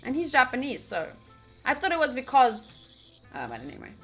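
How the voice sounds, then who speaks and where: A bright, fairly high-voiced woman narrates a visual novel playthrough. She is expressive and playful, switching between reading and exclaiming, with faint game music behind her. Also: quick pace, husky. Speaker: game streamer reading lines aloud.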